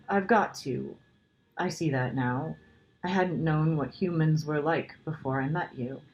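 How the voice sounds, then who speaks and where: A woman voicing a gentle, guilt-ridden male faun in a children's storybook, anguished and pleading in a dramatic way. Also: sits low, highs cut off. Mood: resigned, urgent. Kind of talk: children's storybook character reading.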